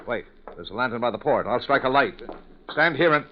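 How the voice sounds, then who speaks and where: An actor playing a brusque, commanding ship's captain in 1940s radio style, wary and irritable, giving gruff, clipped orders. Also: male voice, medium pitch, expressive, a little fast, lightly acted. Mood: urgent, irritable. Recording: muffled, noisy 1940s radio recording.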